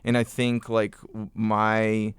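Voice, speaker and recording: A young man talks loosely as a podcast guest, circling back through fillers and restarts. His voice sits fairly low and sounds smooth and clean. The recording is close and dry. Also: slow, dry recording. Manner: relaxed storyteller, many fillers and restarts. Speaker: podcast guest, musician and vocal coach. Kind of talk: casual podcast interview.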